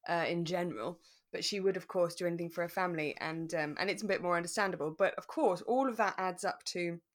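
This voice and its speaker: A woman's voice: on the low side, fast talker. Chatty, articulate British woman podcaster. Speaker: podcast co-host. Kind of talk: relaxed chat discussing a radio drama.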